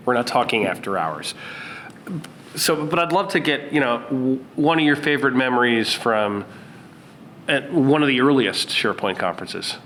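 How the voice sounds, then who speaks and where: A man's voice: mid-range voice, a bit bright, slight background noise. A man co-hosting a podcast live at a conference, upbeat and joking, with playful asides. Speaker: podcast co-host. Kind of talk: casual podcast chat.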